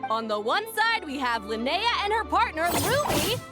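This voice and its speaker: Peppy young female game announcer, extremely high voice, animated swoops, showy projected delivery, music behind. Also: normal speed. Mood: hyped. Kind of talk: video game acting, sports announcer delivery.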